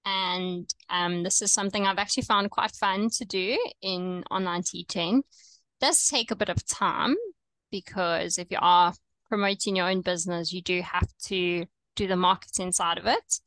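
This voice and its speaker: A young woman with a South African accent, coaching online teachers over a video call in a relaxed, chatty way with frequent ums. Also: lowish voice, moderate pace, no echo, low-quality recording. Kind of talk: webinar presentation.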